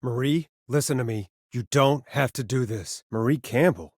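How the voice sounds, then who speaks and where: Casting: male actor as late-night radio host in a game thriller. Earnest, dry wit, hesitant under pressure, mid-pitched, very dry studio sound. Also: normal speed, no room sound, lightly acted. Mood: uneasy, pleading. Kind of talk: video game voice acting, conversational.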